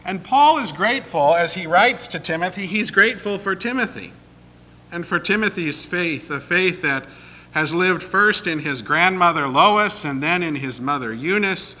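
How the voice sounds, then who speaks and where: A male pastor preaching a sermon in a slow, deliberate way. He is getting over a cold and sounds a little croaky, clearing his throat now and then. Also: high-pitched, animated, pushed and bright. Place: church sanctuary. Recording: long reverb of a church sanctuary.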